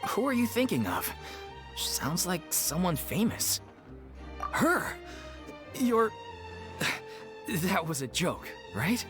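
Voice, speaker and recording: A man's voice: on the high side, very animated, slow pace. A man playing an earnest, downhearted amateur theater organizer in a video game, speaking in a hesitant, wistful manner. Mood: hesitant, humble. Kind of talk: video game dialogue acting. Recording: music behind the dialogue.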